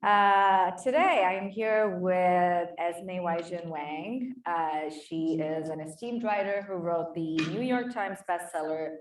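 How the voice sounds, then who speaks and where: A woman's voice: fairly low pitch, slow, few pauses, far from the mic. A woman with an Indian accent, co-chairing a conference and moderating a panel, friendly with frequent ums. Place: conference hall. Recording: echoey hall, voice from off mic.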